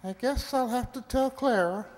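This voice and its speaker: A man playing a small, polite but insistent talking mouse in a radio drama, with a squeaky voice. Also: normal speed. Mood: dejected, anxious. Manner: polite, matter-of-fact, insistent. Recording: reverberant hall-like amateur recording.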